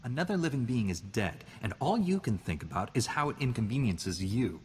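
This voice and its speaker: An actor playing an earnest male Jedi knight in a video game, speaking calmly and reprovingly. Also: mid-range voice, in a large hall, a little hiss or hum, lightly acted. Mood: stern. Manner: calm, reproving, measured. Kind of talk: video game character dialogue.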